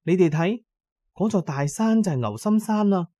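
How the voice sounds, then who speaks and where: A man's voice: high-pitched, lively intonation, moderate pace, warm tone, no room sound, voice pushed up. A man voicing a young village woman who tells eerie local lore in a folksy way, in an audio drama. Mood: pointing out.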